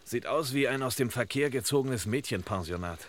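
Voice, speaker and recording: An actor playing a sardonic, pompous detective who speaks in clipped deductions, in a vintage radio crime comedy. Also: male voice, mid-range voice, fairly quick, lightly acted. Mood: dry, sardonic. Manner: pompous, deadpan, telegram-style phrasing. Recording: noisy old radio recording.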